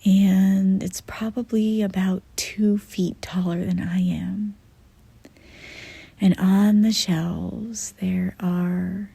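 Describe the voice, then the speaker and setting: A woman narrates a guided meditation very slowly, in a soft and breathy voice that sits close to a whisper. Her delivery is gentle and unhurried, with frequent pauses. The sound is dry and intimate, with hardly any room to it. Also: mid-range voice, no room sound.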